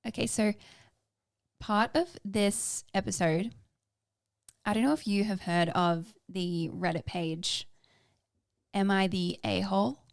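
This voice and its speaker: Chatty young woman with a gravelly edge. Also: mid-range voice, takes it slowly, pauses often, no room sound, clean studio sound. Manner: bubbly, chatty, talks over partner. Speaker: podcast co-host. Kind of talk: casual chat.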